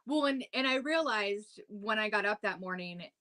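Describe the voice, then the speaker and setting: A woman in her thirties chatting loosely on a podcast, her voice bright and forward. She rambles through her stories, filling gaps with likes and ums. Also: mid-range voice, expressive, moderate pace, low-quality recording. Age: 30s. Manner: chatty, storytelling with lots of "like" and "um". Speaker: podcast co-host. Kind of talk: casual chat.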